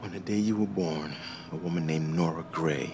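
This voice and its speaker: A middle-aged American man playing a kind, protective researcher in a cinematic game, talking in a gentle, familiar, naturalistic way. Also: low-pitched, moderate pace, noisy background. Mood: grave, careful.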